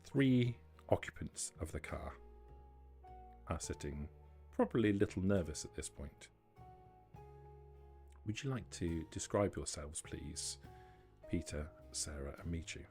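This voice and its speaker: Man, game master, deep voice, slow measured scene-setting, short phrases, soft music underneath. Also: expressive, vocal fry. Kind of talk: slow atmospheric tabletop game narration.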